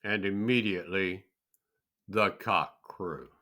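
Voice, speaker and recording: A man giving a solemn, deliberate and emphatic dramatic reading of scripture. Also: low-pitched, pushed and bright. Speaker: scripture reader.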